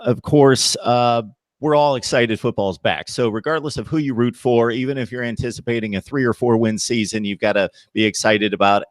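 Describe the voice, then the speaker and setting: A man with a fairly low voice hosts a college football talk show. He asks long, halting questions full of uhs. The recording is close and dry. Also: fairly bright tone, dry recording. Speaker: show host. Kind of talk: sports talk show hosting.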